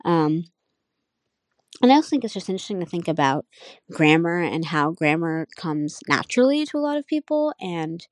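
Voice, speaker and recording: A woman's voice: on the low side, big pitch swings, normal speed, dry recording, clean studio sound. A young adult woman, a college student whose first language is Nepali, reflecting thoughtfully on a class video in a loose, searching way with lots of "um" and "like". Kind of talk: casual spoken class reflection.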